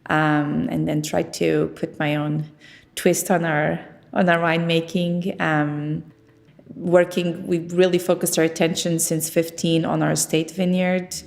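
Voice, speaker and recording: A woman's voice: sits low, little pitch movement, a little slow, creaky, a little mellow. A middle-aged woman from Portugal, talking conversationally about her work in an interview. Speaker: winemaker being interviewed.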